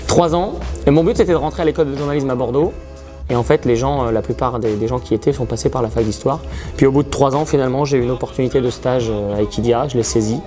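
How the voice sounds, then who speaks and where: A man in his thirties from France speaks in an earnest, hesitant way, pausing often as he gathers his thoughts. His voice sits in an ordinary middle pitch. Faint music plays quietly behind him. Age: 30s. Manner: earnest, self-described shy, frequent hesitations. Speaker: horse racing commentator. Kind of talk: interview answers.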